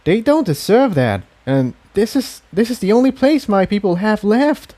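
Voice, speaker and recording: A man's voice: sits high, sing-song, moderate pace, dark tone, pitched above the performer's own voice. A man voicing an earnest, battle-wise female pony in an adventure story reading. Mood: firm, concerned.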